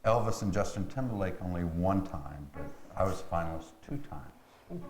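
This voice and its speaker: A man's voice: sits low, animated, moderate pace. A middle-aged man chatting casually as an actor being interviewed. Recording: echoey room with clear background noise.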